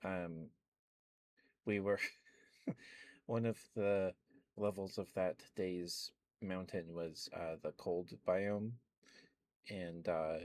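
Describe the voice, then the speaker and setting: A man chatting in a soft, rambling ASMR style, close to the mic, with many fillers and small laughs. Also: deep voice. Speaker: ASMR streamer.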